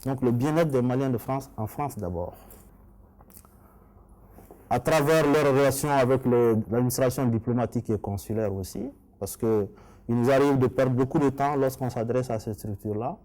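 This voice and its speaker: A middle-aged man with a Malian accent speaks in a mid-pitched, mellow voice. He sounds like a candidate in a formal interview, laying out his answers in an earnest, structured way. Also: moderate pace. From Mali. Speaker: election candidate being interviewed.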